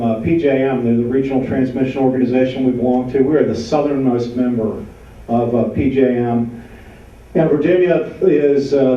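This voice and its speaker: A man from New York giving a slide talk to a local audience, speaking loudly with many uh fillers and asking for the next slides. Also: medium pitch. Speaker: panel speaker. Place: large meeting room with an audience. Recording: far-off, dull, very echoey hall.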